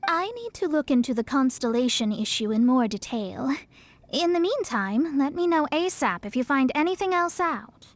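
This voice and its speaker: A woman voicing a proud, self-assured young astrologer in a video game, speaking articulately and a little haughtily. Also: high voice. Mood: smug. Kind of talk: video game character acting.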